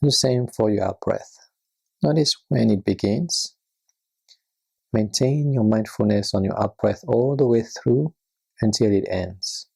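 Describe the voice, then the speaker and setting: A meditation guide narrating a guided practice in a gentle, soothing and unhurried manner, with a husky, breathy, creaky voice. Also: medium pitch.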